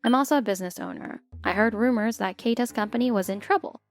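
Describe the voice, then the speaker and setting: A woman voicing a polite, put-upon stepmother who narrates her own story in an expressive manga dub. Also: on the high side, fast talker, lightly acted. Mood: narrating, troubled. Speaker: narrator and lead character. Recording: music bed behind the voice.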